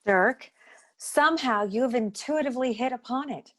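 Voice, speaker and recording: A woman's voice: a little high, normal speed, close to an everyday voice. A woman playing a practical, sympathetic detective's office associate in a noir radio play. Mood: urgent.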